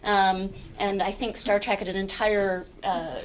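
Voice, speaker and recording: A woman's voice: medium pitch, fairly even intonation, unhurried, poor audio. A middle-aged woman professor introducing herself on a university panel in a wry, chatty way. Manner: wry, chatty, tells puns. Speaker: computer science professor on a panel. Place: university lecture room with audience. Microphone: handheld mic.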